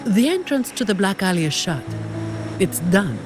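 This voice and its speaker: An actress voicing a cool, weary, dutiful young security operative in a video game, composed and dryly unimpressed. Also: female voice, medium pitch, very animated, normal speed, lightly acted. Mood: guarded, questioning. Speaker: employee of a powerful family leading an operation. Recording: studio voice under game music.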